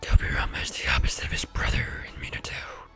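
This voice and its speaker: A man voicing an ancient, proud fox spirit in a breathy whisper, speaking solemnly and slowly over music. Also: sharp tone, close to an everyday voice. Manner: slow, solemn speechifying.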